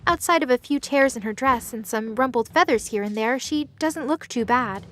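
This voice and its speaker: A woman's voice: fairly high pitch, normal speed. A woman reading scripted third-person narration for an audio drama. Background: music under the voice.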